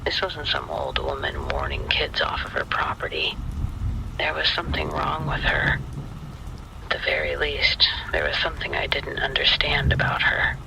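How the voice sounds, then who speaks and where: A man narrating a scripted spooky story in the first person, in a hushed, deliberate, suspenseful manner. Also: mid-range voice, very animated, creaky, in a whisper, low-quality recording.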